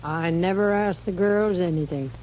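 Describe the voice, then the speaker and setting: An actress playing a teasing, worldly frontier saloon madam with a sly, familiar manner, in radio western style. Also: female voice, on the low side, moderate pace, dark tone, slight background noise, lightly acted. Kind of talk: 1950s radio western acting. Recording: muffled old radio recording.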